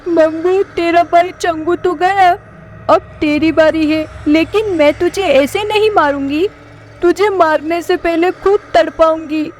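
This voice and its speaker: औरत की आवाज़ में ऊँचे सुर वाली डरावनी चुड़ैल. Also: female voice, very high voice, measured pace, darkish tone, rough recording. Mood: gloating menace. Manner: threatening, taunting. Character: menacing, vengeful female witch threatening her prey. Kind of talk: animated horror story acting. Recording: background music and clear noise.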